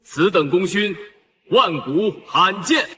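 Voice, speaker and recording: A man as a high-voiced scheming royal prince. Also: lively intonation, slow, pushed and bright, full character voice. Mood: effusive praise. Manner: formal, theatrical. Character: scheming adult prince, flattering his emperor father. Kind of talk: Chinese animated web drama voice acting.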